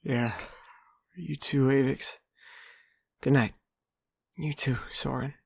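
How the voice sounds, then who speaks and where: A man's voice: mid-range voice, steady tone, slow, creaky, breathy edge, dry recording, clean studio sound, full character voice. A man playing a reserved young trainee of few words in an audio drama, subdued and terse.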